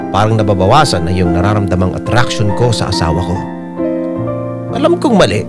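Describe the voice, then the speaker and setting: A man's voice: mid-range voice, close to an everyday voice. An actor playing a troubled husband, narrating his marriage story with a Filipino accent in an earnest, confiding radio drama style. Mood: guilty, confused. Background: piano and keyboard music.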